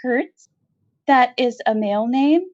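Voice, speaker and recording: A woman's voice: fairly high pitch, slow, darkish tone, no echo, highs cut off, pitched above the performer's own voice. A woman voicing a giant female arachnid matriarch in a storybook reading, imperious and calculating, with formal, stilted, commanding phrasing. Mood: curious, demanding.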